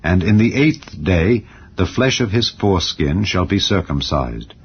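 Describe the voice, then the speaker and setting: A man reading scripture aloud in a solemn, measured dramatized recitation. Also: deep voice, expressive, slight background noise. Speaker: Bible reader. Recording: dull audio under soft music. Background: faint background music.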